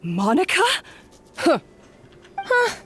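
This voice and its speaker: High-pitched, imperious woman chef. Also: huge pitch swings, very slow, background noise, full character voice. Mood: puzzled. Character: imperious female head chef commanding her helpers. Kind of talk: video game voice acting.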